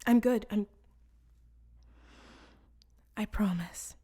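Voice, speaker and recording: A woman's voice: medium pitch, normal speed, warm tone, slightly rough, lightly acted. A woman playing a guarded, hurting young daughter in a radio play. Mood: hesitant, evasive. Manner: hesitant, evasive.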